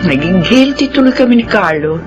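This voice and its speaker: An actress playing a concerned, sympathetic female friend in a radio drama, gentle and consoling. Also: mid-range voice, lively intonation, brisk, over music, close to an everyday voice. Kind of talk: Filipino radio drama acting. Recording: dull radio drama sound.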